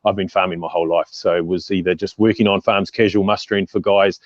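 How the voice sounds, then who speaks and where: A man in his forties with a New Zealand accent, a mindset coach talking as a guest on a video call in a relaxed, plain-spoken way. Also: sits low, fast talker, dry recording. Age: 40s. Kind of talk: casual conversational interview. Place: outdoors by a brick wall. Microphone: earphone mic on video call. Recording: dry, dull video call audio.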